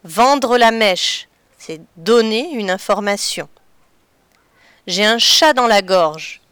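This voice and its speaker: A woman addresses children as their teacher, patient and deliberate as she explains. Her voice is smooth and clear. The sound is dry and close. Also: medium pitch, expressive, fairly bright tone, no room sound. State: slightly stuffy from a cold. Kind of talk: children's lesson on figurative expressions.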